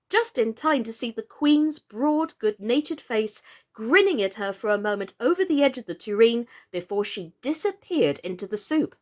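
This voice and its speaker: Female storyteller, high voice with big swings in pitch, expressive narration for children. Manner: theatrical, expressive. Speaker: storyteller, actress.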